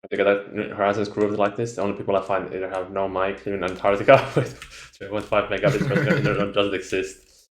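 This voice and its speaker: A man's voice: lowish voice, flat delivery, quick pace, roomy sound. A man from Finland, joking and laughing in casual gaming chat.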